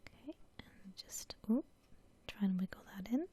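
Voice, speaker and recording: A woman whispering gently and unhurriedly in a soft, breathy ASMR style, musing aloud as she comments on a game. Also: medium pitch, animated, moderate pace. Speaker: host of an ASMR gaming channel.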